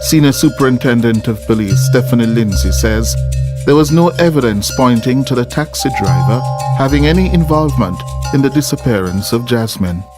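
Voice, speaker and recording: A man's voice: mid-range voice, expressive, fairly quick. A man reading scripted documentary narration as a reporter. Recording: music bed under the voice. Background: background music.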